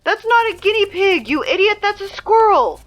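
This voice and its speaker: A woman's voice: very high voice, big pitch swings, moderate pace, fairly bright tone, heavily acted. A woman playing a sarcastic teen girl announcer. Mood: panicked. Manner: sarcastic, flustered, prone to outbursts. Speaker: school morning announcer. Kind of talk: comedic audio drama acting.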